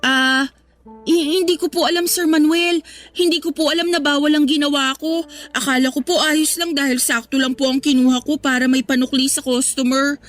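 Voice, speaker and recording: A woman's voice: high voice, fairly even intonation, normal speed, natural voice. A woman playing a young cashier in a Tagalog radio drama, deferential and hesitant in manner. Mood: alarmed, apologetic. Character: young female cashier, eager, polite and nervous. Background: music.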